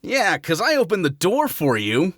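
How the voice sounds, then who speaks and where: A man's voice: high voice, wildly swooping pitch, normal speed, no room sound, studio quality, exaggerated voice. A man voicing an easygoing, goofy hedgehog hero in a fan audio drama, casual and playful.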